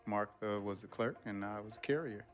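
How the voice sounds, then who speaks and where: An actor playing an older male mail carrier gently reminiscing about meeting his wife. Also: fairly low pitch, a little fast, natural voice. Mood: fond.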